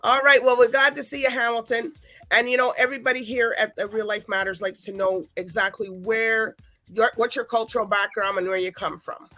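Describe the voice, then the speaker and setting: A woman hosts a talk show with a bright, forceful voice, rambling casually from thought to thought. She speaks over faint background music. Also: fairly high pitch, steady tone, a little fast. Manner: rambling, loose and chatty. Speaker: show host.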